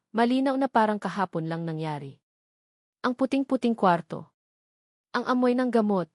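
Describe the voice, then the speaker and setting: Filipina woman narrating a dramatic story, solemn n measured, mid pitch, super dry close recording. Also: a little mellow, no room sound.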